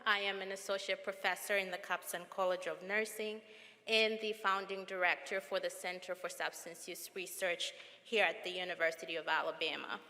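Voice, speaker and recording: A woman from Zambia, a professor, giving an earnest, measured explanation at a formal ceremony. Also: lowish voice, level tone, sharp tone, slight background noise. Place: large auditorium. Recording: long hall reverb.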